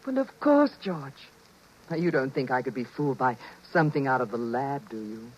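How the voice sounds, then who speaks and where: An actress playing an alluring, knowing and devoted wife in a 1950s radio drama, coaxing and unhurried. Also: female voice, a bass voice, lively intonation, a little mellow. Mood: knowing, teasing. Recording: dull 1950s radio recording.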